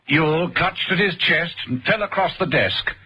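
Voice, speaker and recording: A man's voice: a little high, moderate pace, very noisy. A man narrating a radio thriller in a dramatic scripted style. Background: music.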